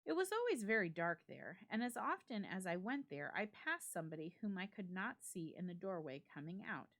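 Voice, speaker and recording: A woman giving a dramatic reading of a classic ghost story, with measured, expressive phrasing and deliberate pauses. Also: medium pitch. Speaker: library staff member reading a story aloud.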